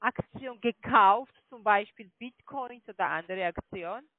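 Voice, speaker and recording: A woman's voice: mid-range voice, measured pace, dry recording. Non-native lady cold calling, pushy, mumbly, dry tinny phone audio. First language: not German. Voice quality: mumbled, indistinct diction. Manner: insistent. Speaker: cold-calling call centre agent. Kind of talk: phone sales questioning. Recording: dry narrow phone line.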